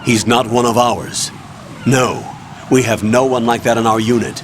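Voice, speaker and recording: A man's voice: mid-range voice, moderate pace, lightly acted. An actor playing a stern male colonel giving firm orders over radio in a video game cutscene. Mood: commanding. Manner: firm, commanding, evasive. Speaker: commanding officer on radio. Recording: game mix with clear background noise.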